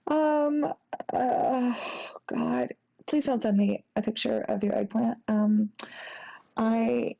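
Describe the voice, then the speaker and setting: A sheepish young woman , played by an actress, rambles apologetically to an old flame in an intimate audio roleplay. Her voice is soft, dark and relaxed, with very heavy creak, and the recording is slightly dull. She is halting and flustered, full of embarrassment. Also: on the high side, full character voice. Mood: embarrassed, rambling. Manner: halting, rambling, embarrassed, breaking into nervous giggles. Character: sheepish, flustered, apologetic young ex-girlfriend after a drunken night. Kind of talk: intimate acted phone-call roleplay.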